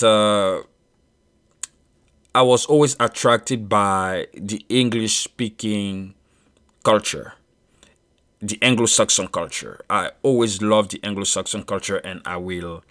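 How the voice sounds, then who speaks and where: A man in his thirties from Ivory Coast, French his first language, speaking in an Ivorian French accent, giving a measured solo monologue with frequent fillers and restarts. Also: on the low side, fairly bright tone, clean tone. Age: 30s. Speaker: commentator.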